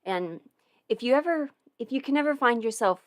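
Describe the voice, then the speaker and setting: A woman's voice: on the high side, animated, a little fast, no echo. A young woman in her twenties hosting a casual livestream Q&A from home, talking close to the microphone with lots of fillers and giggles. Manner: rambling, giggly, many fillers. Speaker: livestream host answering questions. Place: home, eating ramen.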